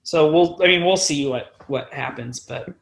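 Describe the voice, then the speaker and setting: Young man, slightly high-pitched voice, casual interview chat, rambling, informal, mildly sweary, musician guest. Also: normal speed, gravelly. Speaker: band frontman, podcast guest.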